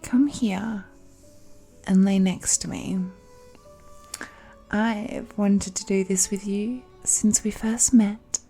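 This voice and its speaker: A woman's voice: medium pitch, fairly even intonation, slow, vocal fry, long pauses, warm tone, slightly rough, soft background music, heavily acted. A woman playing a possessive, seductive ancient supernatural villainess in a romantic audio roleplay, speaking in a coaxing, condescending way with hums and sighs. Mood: coaxing, menacing.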